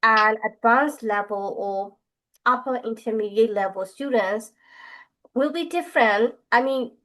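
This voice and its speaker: A woman's voice: a little high, unhurried, a bit bright, rough recording. Chatty woman host, fillers and restarts. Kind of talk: casual interview.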